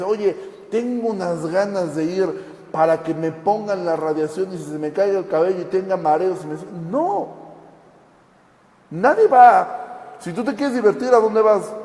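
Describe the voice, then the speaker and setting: A man's voice: high voice, dark tone. Earnest preacher man in an echoey hall. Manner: earnest teaching, rhetorical questions, acts out quoted complaints. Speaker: pastor. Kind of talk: sermon. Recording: echoey hall with long reverb.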